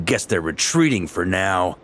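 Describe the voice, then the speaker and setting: A man's voice: low-pitched, big pitch swings, measured pace, background noise. A man voicing a bold, easygoing, battle-seasoned knight commander in a video game. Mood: calm, matter-of-fact.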